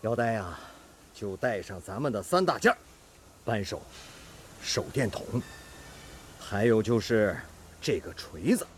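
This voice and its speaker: An actor playing a modest, hearty middle-aged master fitter from a steel plant in a radio drama. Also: male voice, on the low side, sing-song, unhurried, long pauses, a little hiss or hum. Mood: amused, reminiscing.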